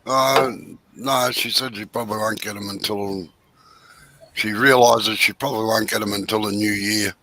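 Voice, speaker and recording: A man chatting casually, croaky and losing his voice. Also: lowish voice, steady tone, moderate pace, sharp tone. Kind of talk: casual chat.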